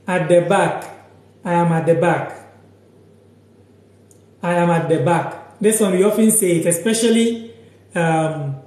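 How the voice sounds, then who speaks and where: A young man from Ghana giving a relaxed live lesson from a sofa in a small room, talking slowly and saying each word over and over. His voice sits fairly high, and it rings a little in the echoey room. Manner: slow, repeats each word and sentence several times. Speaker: language teacher on a livestream. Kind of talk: casual live lesson, reading out viewer comments.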